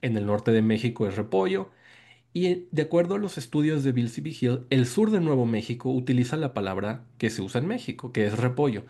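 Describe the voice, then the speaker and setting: A Mexican-accented man presenting research to an online group. His voice is dark and mellow at an ordinary pitch. He explains step by step, repeats key words and asks for agreement as he goes. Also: very animated, a little fast. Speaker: guest lecturer presenting research. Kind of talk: academic presentation on a video call. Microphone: video call microphone.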